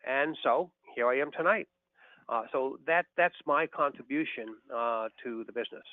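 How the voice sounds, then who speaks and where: A man's voice: medium pitch, moderate pace, no echo. Older man presenting on a phone call. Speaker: doctor and business partner speaking on a conference call. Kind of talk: prepared talk on a conference call. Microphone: telephone. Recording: radio-like telephone conference line.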